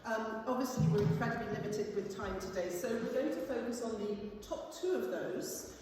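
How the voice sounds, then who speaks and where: A woman's voice: a little high, lively intonation. Woman presenting in a very echoey hall. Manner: earnest, explanatory, somewhat halting. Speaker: cellist and conservatoire teacher presenting. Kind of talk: conference presentation. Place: large indoor conference hall. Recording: echoey hall from far off, faint noise.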